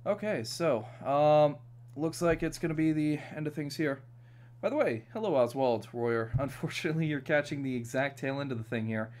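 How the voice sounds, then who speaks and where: A man's voice: a little high, expressive, normal speed, a little mellow. A man from New York streaming a strategy game, chatting casually with plenty of fillers. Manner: laid-back, chatty, many fillers. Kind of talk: casual gaming chat.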